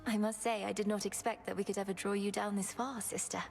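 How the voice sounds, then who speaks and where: An actress playing a young, dutiful underworld princess and witch in a video game, composed and earnest, with a gravelly creak. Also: mid-range voice, little pitch movement, fast talker, a little mellow, big-room sound, faint music behind, natural voice. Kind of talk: video game dramatic acting.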